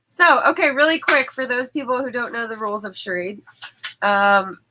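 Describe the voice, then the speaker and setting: A woman's voice: fairly high pitch, fairly even intonation, normal speed, noisy background. A woman hosting a livestream, stuffy and sniffling from allergies, chatting casually and laughing often as she talks into her phone. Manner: chatty, laughs often, apologetic about tech trouble. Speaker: livestream host. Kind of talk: casual chat. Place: indoor studio.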